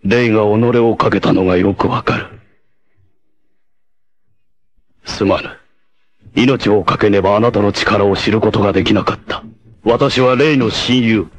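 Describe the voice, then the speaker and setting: A man's voice: lowish voice, husky, no echo. A man voicing a noble, self-sacrificing blind warrior leader in a 1980s anime, with a solemn, formal, declamatory delivery. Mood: composed, grave. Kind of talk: 1980s anime martial-arts voice acting.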